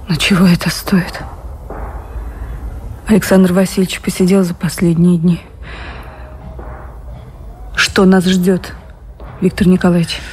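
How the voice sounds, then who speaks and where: An actress playing an anxious, devoted gentlewoman in love, speaking earnestly in refined, wistful radio drama style. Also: fairly low pitch, little pitch movement, unhurried, pauses often, slight background noise, close to an everyday voice. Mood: worried. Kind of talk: Russian radio drama acting.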